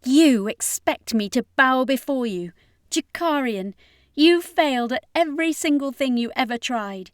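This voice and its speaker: A woman's voice: sits high, animated, a little slow, no room sound, clean studio sound, pitched above the performer's own voice. A woman voicing a defiant, scornful female character in a fantasy audiobook, emphatic and taunting.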